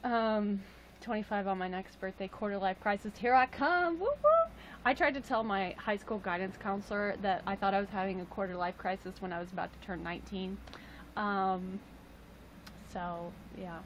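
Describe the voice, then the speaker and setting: Late-twenties woman livestreamer, chatty and jokey, mid-pitched, faint room hum behind. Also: moderate pace, low-quality recording. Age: 20s. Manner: chatty, jokey, with playful high exclamations. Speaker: livestream host. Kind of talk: casual livestream chat reading viewer comments.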